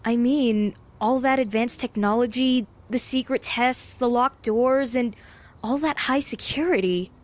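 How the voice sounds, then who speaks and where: A woman's voice: on the high side, unhurried, lightly acted. A woman playing a brave, earnest young lab intern in a comic-book audio drama, talking in a conversational, coaxing way. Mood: worried, probing.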